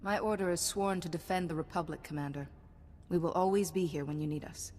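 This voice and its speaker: Game cast: woman as a dutiful Jedi, low-ish voice, firm and earnest, reverberant space. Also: fairly even intonation, a little fast, darkish tone, a little hiss or hum, natural voice. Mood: resolute. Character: principled female Jedi, resolute and dutiful. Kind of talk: game voice acting.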